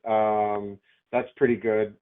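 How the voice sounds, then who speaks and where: A man's voice: fairly low pitch, level tone, takes it slowly. A man, a property owner, chatting casually on a phone call in an easygoing, hesitant way, thinking aloud as he talks. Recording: narrow, tinny phone line.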